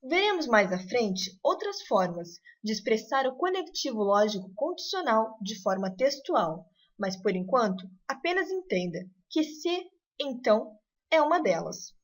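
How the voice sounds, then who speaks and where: A woman teaching a recorded math lesson. Her voice sits somewhat high and moves with a lively, varied melody. She explains patiently and clearly, step by step. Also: a little slow.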